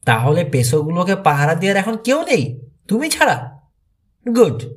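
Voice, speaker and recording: A menacing male rancher villain acted out by a man reading an audiobook. He is bossy and sneering, barking threats in a highish voice with very animated intonation. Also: moderate pace. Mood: smug, threatening. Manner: hissing, barking threats and orders. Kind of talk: audiobook character voice.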